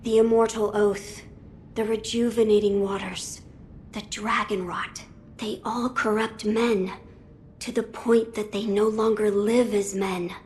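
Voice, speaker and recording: Woman voicing an earnest young boy lord, even-toned. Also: medium pitch, slight background noise, natural voice. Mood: grave resolve. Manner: formal, measured, earnest. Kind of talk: video game cutscene acting.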